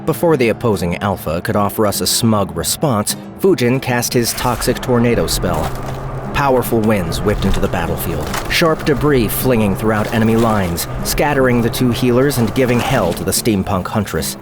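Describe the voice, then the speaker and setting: A man narrating an action-packed audio drama in a measured, storytelling delivery, with music behind him. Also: fairly low pitch, animated. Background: music score.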